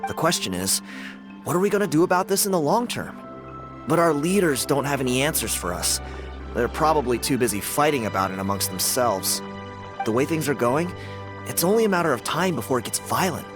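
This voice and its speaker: A friendly, boastful young dancer from a jungle tribe in a video game. He talks in an easygoing, chatty way, with a slightly high, lively voice. Loud game music plays under him.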